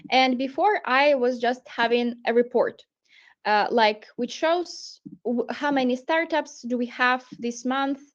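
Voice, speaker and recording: Higher-pitched Polish woman demoing software. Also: a little slow, highs cut off. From Poland. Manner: chatty, loose phrasing with many fillers. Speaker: product presenter. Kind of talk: casual product walkthrough.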